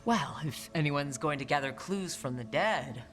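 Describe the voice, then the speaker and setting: Low-voiced, wry adventurer heroine. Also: female voice, animated, a little slow. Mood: wry, supportive. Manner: wry, encouraging. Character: friendly, teasing young female adventurer and team leader. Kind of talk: natural game acting. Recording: game music behind.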